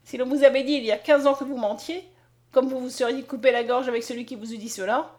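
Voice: high voice, fairly even intonation, fast, a little mellow, natural voice. A woman narrator voicing a blunt, loyal, grumbling old manservant with a folksy manner in a storybook reading. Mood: indignant. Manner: folksy, indignant.

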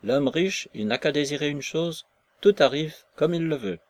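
A man's voice: on the high side, lively intonation, moderate pace, dry recording, pitched above the performer's own voice, natural voice. A man voicing an agreeable woman prisoner with a sing-song way of speaking. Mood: agreeing.

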